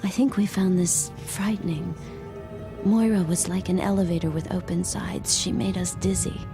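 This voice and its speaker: Actress as a weary narrator, low and gravelly, music underneath. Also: female voice, steady tone, normal speed, close to an everyday voice. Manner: tired, reflective, quiet narration. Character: weary, guarded young woman narrator.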